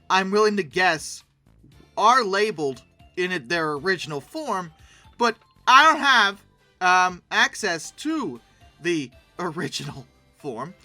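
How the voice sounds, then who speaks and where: A commentator giving casual, humorous commentary in a rambling, animated, mock-indignant way, with conversational asides. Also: medium pitch, no echo. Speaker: host reviewing old dinosaur illustrations.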